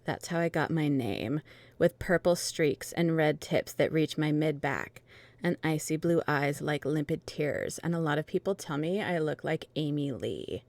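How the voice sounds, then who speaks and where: A woman's voice: deep voice, unhurried, a little mellow, no echo. A woman reading fan fiction dramatically as a melodramatic, self-absorbed teenage goth vampire witch. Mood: self-absorbed, matter-of-fact.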